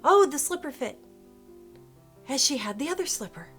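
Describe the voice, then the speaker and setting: A woman hosting a video and telling a story casually and comedically, in an animated, sarcastic manner with playful asides and self-interruptions. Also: high-pitched, moderate pace, faint music behind.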